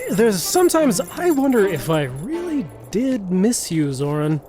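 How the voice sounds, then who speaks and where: A man's voice: high-pitched, sing-song, slow, heavily acted. An actor playing an earnest, proud young knight who banters easily with an old friend. Music plays behind his voice. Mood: friendly. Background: background music.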